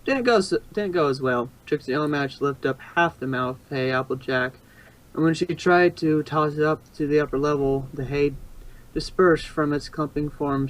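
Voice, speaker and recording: A man's voice: medium pitch, low-quality recording. A man narrating fan fiction as an amateur storyteller, sometimes stumbling and repeating phrases.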